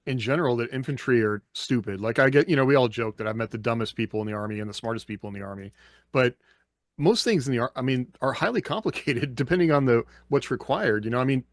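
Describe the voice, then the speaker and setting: A man's voice: medium pitch, fast talker, no room sound. Emphatic man chatting, dry sound. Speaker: podcast panelist with army background. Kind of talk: casual opinionated chat.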